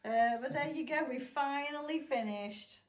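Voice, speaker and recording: A woman's voice: sits high, a little slow. A woman hosting a casual vlog in a chatty, rambling way. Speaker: host and camera operator of a restoration vlog. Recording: echoey room, music behind.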